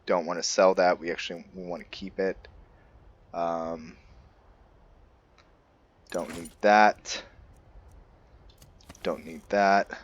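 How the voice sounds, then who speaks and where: A man with a fairly deep voice talks through his game at a very unhurried pace. He is laid-back and casual, musing aloud as a let's-play host does. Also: little pitch movement. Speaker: host of a video game roleplay series.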